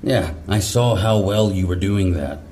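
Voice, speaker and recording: Man playing a deep, gruff military corporal. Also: a little slow, warm tone, a little hiss or hum. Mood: stern. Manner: commanding, profane, stern. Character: gruff, territorial male National Guard corporal.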